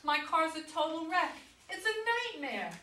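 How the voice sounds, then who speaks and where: A woman's voice: very high voice, big pitch swings, normal speed, pushed and bright, full character voice. A woman acting a contrary, aggrieved wife in her forties in a staged comedy reading, dryly complaining and contradicting. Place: echoey room, mic away from performers. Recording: roomy distant stage recording, faint noise.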